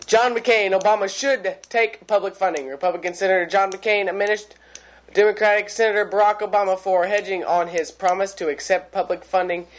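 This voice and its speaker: A young man reads the stories of a mock newscast at a quick clip, sometimes stumbling over words. His voice sits somewhat high. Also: fairly even intonation. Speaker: mock news co-anchor.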